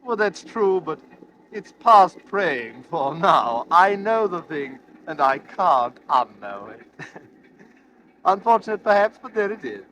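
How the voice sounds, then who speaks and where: A man's voice: a little high, sing-song, takes it slowly, fairly bright tone, full character voice. An actor playing a sly, genteel man who chattily and smugly confides a secret, in 1940s radio thriller style. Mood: smug, wry. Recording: noisy old 1940s radio recording.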